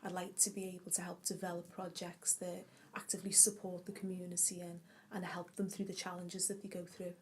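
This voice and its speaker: A woman, a community wellbeing officer calmly explaining her aims in an interview. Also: fairly low pitch, brisk, few pauses. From Liverpool, England. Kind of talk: interview answers to camera.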